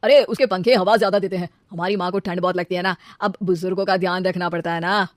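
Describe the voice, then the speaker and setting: A woman's voice: fairly low pitch, steady tone, fast, dry recording, clean studio sound, natural voice. A woman voicing a boastful young husband in a cartoon, a shameless liar who glibly justifies himself. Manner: glib, hesitates then brazenly justifies himself. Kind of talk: animated cartoon dialogue.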